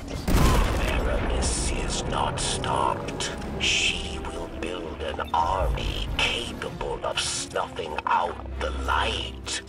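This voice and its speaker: An actor playing a weary alien scavenger exile in a video game, with a gravelly, hissing rasp and a halting, theatrical delivery. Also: male voice, deep voice, full character voice. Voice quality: gravelly, rasping, breathy hisses. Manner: halting, theatrical, speaks of himself in third person. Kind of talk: video game character acting. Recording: game music behind, slightly distant.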